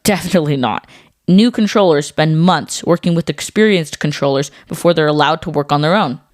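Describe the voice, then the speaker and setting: A woman co-hosting a scripted educational podcast for children, explaining things clearly. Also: low voice, a little fast, no room sound, clean studio sound. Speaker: podcast co-host.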